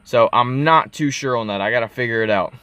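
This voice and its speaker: A man's voice: mid-range voice, a little fast, a bit bright, clean tone, no room sound. A young adult man talking casually in an unscripted car vlog, explaining things in a folksy, rambling way. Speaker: car vlogger. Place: inside a parked car.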